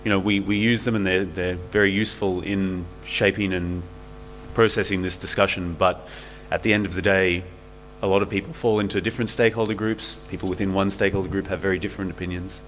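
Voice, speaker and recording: A man's voice: deep voice, fairly even intonation, brisk, a bit bright, a little hiss or hum. A man speaking as a panelist at a conference, thoughtful and hedging as he works through his points. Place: conference hall.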